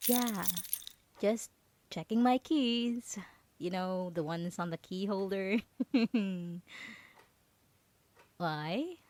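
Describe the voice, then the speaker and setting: A woman playing a possessive roommate who feigns innocence in an ASMR roleplay, speaking in a halting, nervous, sweet manner. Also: medium pitch, a little mellow, a little hiss or hum. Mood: awkward, nervous, then evasive, chirpy. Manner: halting, nervous, giggly, hesitant with many trailing pauses. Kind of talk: ASMR roleplay audio drama monologue.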